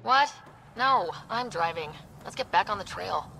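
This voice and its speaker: A woman's voice: medium pitch, expressive, unhurried, vocal fry, bright, forceful tone, slight background noise, exaggerated voice, low-quality recording. A woman playing a determined, wry space explorer leader in a video game, assertive and sardonic. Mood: brisk, assertive.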